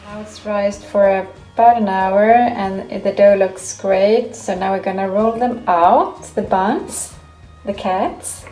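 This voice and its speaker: Greek-born woman raised in sweden, chatty home baker, warm soft voice, echoey kitchen. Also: medium pitch, takes it slowly. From Greece, raised in Sweden. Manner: chatty, explains each step as she bakes. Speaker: home cooking vlogger. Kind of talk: casual cooking demonstration. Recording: echoey room with faint music.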